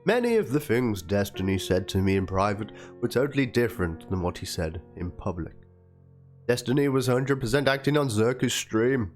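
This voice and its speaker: Man playing a low-voiced, theatrical, wounded woman. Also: big pitch swings, moderate pace, darkish tone. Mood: hurt, accusing. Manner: theatrical, plaintive, spells out chat slang like "lol". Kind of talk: dramatic reading of a written document and chat logs. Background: guitar music.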